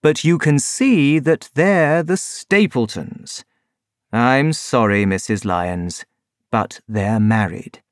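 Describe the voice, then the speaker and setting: A reader voicing a composed male detective who explains and gives orders in measured tones. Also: medium pitch, no room sound, clean studio sound. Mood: calm. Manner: calm, measured, authoritative. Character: calm, commanding male detective.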